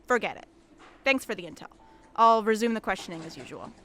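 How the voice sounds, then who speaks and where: Woman as earnest female interrogator, audio drama, sharp bright voice, firm, guarded, slight background noise. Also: mid-range voice, lively intonation, brisk, lightly acted. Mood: uneasy, determined.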